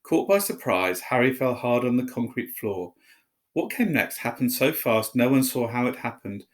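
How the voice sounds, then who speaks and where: A man's voice: medium pitch, expressive, moderate pace. A man telling a story, reading aloud expressively as a narrator.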